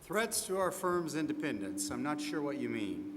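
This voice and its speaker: A man's voice: on the high side, moderate pace. A man playing an older small-firm accountant, confident and a little defensive, answering questions in a scripted role-play. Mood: puzzled, defensive. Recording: echoey hall, steady background room noise.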